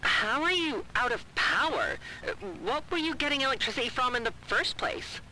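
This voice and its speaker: Noisy radio voice, high-pitched concerned agent. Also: female voice, lively intonation, moderate pace, pushed and bright, exaggerated voice. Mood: concerned, puzzled. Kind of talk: audio drama acting.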